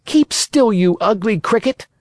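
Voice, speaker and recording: A storyteller voicing an impudent, quick-tempered wooden marionette boy in a storybook reading. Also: mid-range voice, animated, no echo, voice pushed up. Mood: cheeky defiant. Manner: cheeky, impatient.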